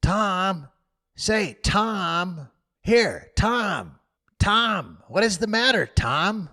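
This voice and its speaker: A man's voice: sits high, lively intonation, very slow, bright, forceful tone, pitched above the performer's own voice, exaggerated voice. A man voicing a young boy who is anxious and pleading with his brother.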